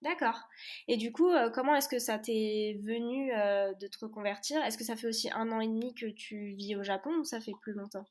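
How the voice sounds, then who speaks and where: A woman's voice: on the high side, fairly quick, darkish tone. A woman hosting a podcast, asking casual interview questions. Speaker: podcast host.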